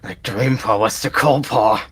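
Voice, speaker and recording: Husky, manic dying cat warrior. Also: male voice, on the low side, measured pace, fairly bright tone, voice pushed up, heavily acted. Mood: unhinged. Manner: gasping, stammering, strained. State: choking, dying. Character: poisoned evil warrior cat mentor, choking, manic.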